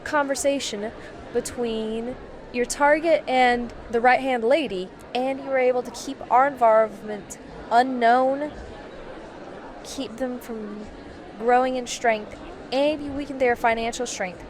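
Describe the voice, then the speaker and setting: A woman's voice: on the high side, measured pace, lightly acted. A woman playing a shrewd, candid covert mission handler in a radio drama, articulate and appraising. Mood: impressed, curious. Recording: clear crowd ambience behind voice. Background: crowd ambience.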